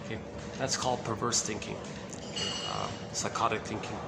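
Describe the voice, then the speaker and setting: A man with a low voice giving an informal, rambling talk on psychoanalysis, often saying "okay" and "uh" as he goes. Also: wildly swooping pitch, moderate pace, vocal fry, very noisy. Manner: rambling, lots of "okay" and "uh" fillers. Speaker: lecturer on psychoanalysis. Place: room in a shared building.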